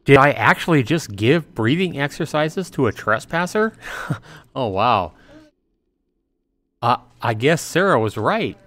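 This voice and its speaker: A man's voice: medium pitch, big pitch swings, measured pace, long pauses, fairly bright tone, no echo. A man livestreaming, reading a visual novel aloud in narration mixed with casual asides. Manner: acts out dialogue between narration and reactions.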